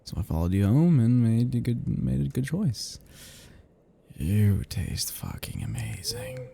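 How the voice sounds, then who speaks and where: A man playing an ancient, flirtatious, possessive vampire in an intimate ASMR roleplay, speaking to the listener softly and huskily in a halting, teasing, casually menacing way. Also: mid-range voice, lively intonation, a little hiss or hum. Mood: infatuated, creepy. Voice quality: soft, husky, gravelly.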